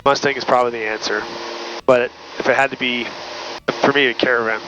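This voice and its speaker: A man's voice: mid-range voice, steady tone, a little fast, noisy background. A man with an American accent, a pilot talking casually with a colleague over a headset in a small plane. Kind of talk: casual cockpit chat. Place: small aircraft cockpit in flight. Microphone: aviation headset. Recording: dull intercom audio, loud aircraft drone.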